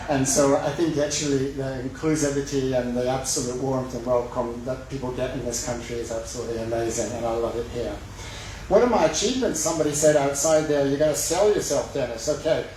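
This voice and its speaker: A middle-aged man with a west of Scotland accent giving a halting campaign speech at a lectern, with many fillers and self-deprecating jokes. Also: medium pitch, darkish tone, slight background noise. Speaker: mayoral candidate. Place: large echoey sports hall. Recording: very echoey hall recording from far off.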